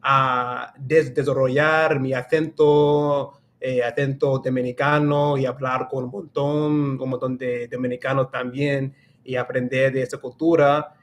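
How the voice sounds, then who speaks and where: A man's voice: mid-range voice, flat delivery, normal speed. Rambling young man on a livestream. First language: English. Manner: rambling, self-correcting, many restarts and fillers. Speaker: livestream host answering viewer questions. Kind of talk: casual livestream chat.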